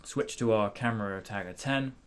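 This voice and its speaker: A man hosting a casual software tutorial, thinking aloud hesitantly as he demonstrates. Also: on the low side, moderate pace. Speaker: tutorial host.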